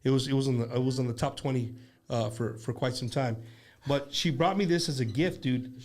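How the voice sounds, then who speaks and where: A man's voice: medium pitch, a little fast, darkish tone. A middle-aged man, hosting a loose chat show with a band and rambling as he repeats his words. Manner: rambling, repeats words, mixes in Spanish slang. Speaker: show host.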